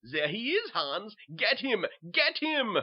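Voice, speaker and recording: Man acting a swooping, husky, commanding German butcher. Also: high-pitched, big pitch swings, slow pace, pitched above the performer's own voice, full character voice. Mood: urging, commanding. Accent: put-on German. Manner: coaxing, insistent, imperious. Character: sly, commanding male German butcher and officer, falsely jovial. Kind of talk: theatrical horror story acting. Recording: dull lo-fi home recording.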